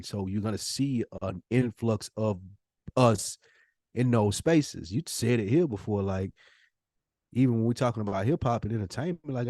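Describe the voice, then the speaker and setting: A man's voice: on the low side, a little mellow, no room sound. An American man co-hosting a podcast, talking casually with emphatic, slangy opinions. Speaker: podcast co-host.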